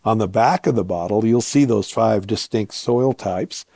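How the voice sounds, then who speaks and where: A man being interviewed as a guest, explaining his work step by step in short, measured phrases. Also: lowish voice, gravelly, mellow, no echo, clean studio sound. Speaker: interview guest, winery owner and viticulturist.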